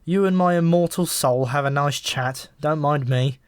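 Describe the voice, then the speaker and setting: A man's voice: a little high, normal speed, clear, resonant tone, no room sound, studio quality, natural voice. Man playing testy, easily flustered ordinary guy. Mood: bitter. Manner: testy, incredulous, questioning. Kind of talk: audiobook character voice.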